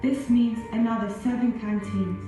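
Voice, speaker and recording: A woman reading a formal documentary narration in an even manner. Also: fairly high pitch, moderate pace, music in the background. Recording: video played in an echoey hall, heard from far off.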